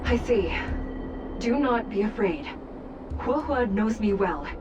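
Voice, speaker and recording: A woman playing a composed, commanding judge in a video game, stern but reassuring, speaking in a formal, measured, authoritative way. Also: medium pitch, lively intonation, highs cut off, background noise. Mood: calm authority. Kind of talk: video game character dialogue.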